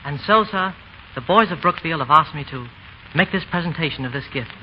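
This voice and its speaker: A man's voice: a little high, expressive, fairly quick, natural voice. Man playing an earnest schoolboy in a hall. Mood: earnest, nervous. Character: polite, earnest English schoolboy making a formal presentation. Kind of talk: 1930s radio drama acting. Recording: muffled, noisy, reverberant 1930s hall sound.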